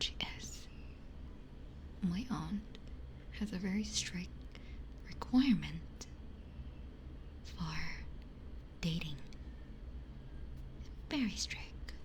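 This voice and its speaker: A woman streamer chatting casually in a breathy ASMR whisper into a close binaural microphone, telling stories in a candid, gossipy way. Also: low-pitched. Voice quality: breathy, mostly unvoiced whisper.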